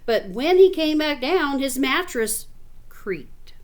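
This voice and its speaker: Lady reading a kids book out loud, highish voice, super animated, chats to the listener in between. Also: takes it slowly. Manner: expressive, chatty asides to the listener. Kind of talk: animated storybook read-aloud with casual asides.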